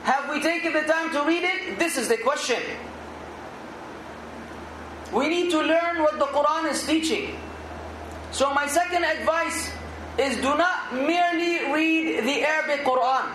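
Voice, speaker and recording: High-voiced man preaching in an echoey room. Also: moderate pace, noisy background. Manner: earnest, exhorting, rising to emphatic outbursts. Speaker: Islamic preacher. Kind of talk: sermon.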